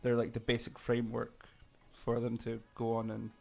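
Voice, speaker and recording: Male panelist, medium pitch with vocal fry, halting conversational delivery. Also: completely flat delivery, a little mellow. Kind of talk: casual discussion.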